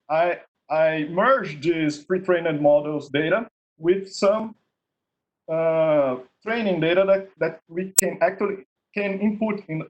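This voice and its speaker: A man with a Portuguese accent, a native Portuguese speaker, walks through a software demo on a video call in a halting way. He repeats words and often ends a point with a questioning "okay?". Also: fairly high pitch, rough recording. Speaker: contest winner presenting his project. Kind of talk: screen-share demo in an online meetup.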